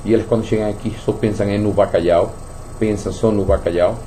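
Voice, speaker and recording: A radio talk host, a man whose Portuguese carries Spanish slips from growing up in Venezuela. His voice is deep-toned and very mellow, with a faint hum behind it. He talks in loose, repetitive runs, restarting phrases as he thinks aloud. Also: fairly even intonation, a little fast, rough recording. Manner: repeats words, thinks aloud with many restarts.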